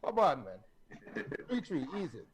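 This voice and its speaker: Joking Jamaican co-host, slightly high voice. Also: male voice, huge pitch swings, unhurried, slight background noise. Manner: joking, opinionated, confident. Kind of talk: casual sports talk show.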